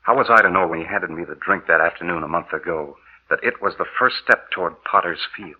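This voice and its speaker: A man's voice: sits low, a little fast, slightly rough, phone-quality sound. An actor playing a trusting, earnest inventor betrayed by his partner, delivered with emphatic old radio horror drama acting. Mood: bitter, haunted. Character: trusting, eager male inventor, now a bitter dead narrator. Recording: old 1930s-40s radio broadcast recording.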